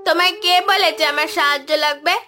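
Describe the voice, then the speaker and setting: A woman's voice: high voice, steady tone, fast talker, natural voice. A woman voicing a hurt, petulant little village boy in a cartoon, tearful and defiant. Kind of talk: Bengali cartoon voice acting. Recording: music behind the voice.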